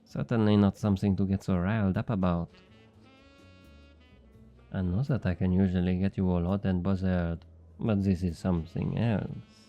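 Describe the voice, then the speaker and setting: An actor playing a smug, flirty thief with a French accent, teasing and coaxing in an intimate ASMR roleplay. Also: male voice, deep voice, fairly even intonation, normal speed, warm tone, muffled recording, soft background music. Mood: flirtatious taunting. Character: obnoxiously flirty, smug male thief and information broker.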